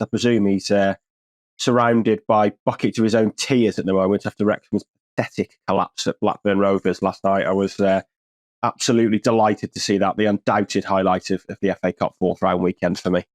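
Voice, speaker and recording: Low-voiced dry English man chatting. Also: fairly even intonation, fairly quick, no echo. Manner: dry, wry and rambling with frequent restarts. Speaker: football journalist, podcast co-host. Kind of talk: casual podcast chat.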